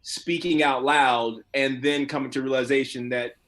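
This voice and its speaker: A man who works as an actor, talking casually and colloquially as an interview guest, telling stories in an animated way. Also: medium pitch, moderate pace. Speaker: voice and motion capture actor being interviewed.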